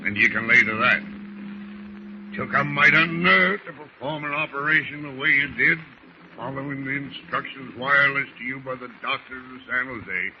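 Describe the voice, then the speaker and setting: An actor playing a crusty older sailor in 1940s radio style, jovial with a sly menace, talking in a folksy seafaring dialect. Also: male voice, mid-range voice, normal speed, close to an everyday voice. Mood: folksy, crafty. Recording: muffled, noisy old radio recording.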